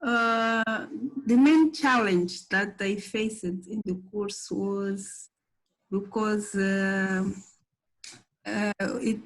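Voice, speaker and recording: Mozambican lady, portuguese accent, talks real slow with lots of uhs, thanking everyone at graduation. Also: mid-range voice, steady tone, poor audio. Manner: slow, halting, with many fillers. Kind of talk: graduation thank-you speech.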